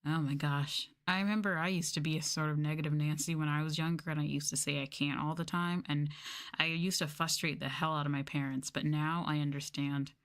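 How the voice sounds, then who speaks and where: A young woman with a low voice talks softly in a casual ASMR stream. She chats candidly, with the odd swear word slipped in. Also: fairly even intonation, brisk, smooth. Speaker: VTuber streamer. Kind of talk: soft-spoken ASMR casual chat.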